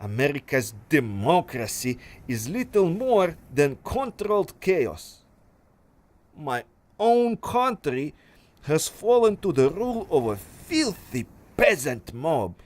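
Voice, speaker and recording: A man's voice: fairly high pitch, big pitch swings, a little mellow. A man playing a silky villain scientist. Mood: grandiose zeal. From Russia. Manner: smooth, silky, menacing, slow and deliberate. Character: suave treacherous male scientist villain, exiled aristocrat. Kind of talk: pulp adventure audio drama.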